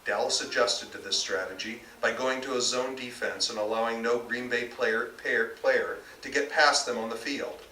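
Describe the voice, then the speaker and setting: A man's voice: mid-range voice, steady tone, normal speed, few pauses, pushed and bright. A man reading his own literary fiction aloud at a live author event. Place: bookstore reading. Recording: roomy, distant mic, faint background noise.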